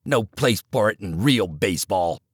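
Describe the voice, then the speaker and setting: A man playing a gruff, deep-voiced coach. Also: animated, normal speed, no echo, clean studio sound. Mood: contemptuous. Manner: curt, dismissive. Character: gruff stubborn male baseball coach.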